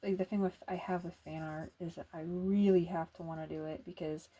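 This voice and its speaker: Mellow, soft-spoken woman, casual ASMR artist chat. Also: fairly low pitch, fairly even intonation, fairly quick. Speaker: illustrator and comic artist narrating a drawing video.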